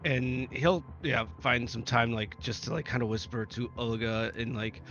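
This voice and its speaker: A man playing a tabletop roleplaying game, casually describing his character's actions in a halting, piecemeal way. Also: medium pitch, steady tone, a bit bright, slight background noise. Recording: slightly dull, lo-fi microphone.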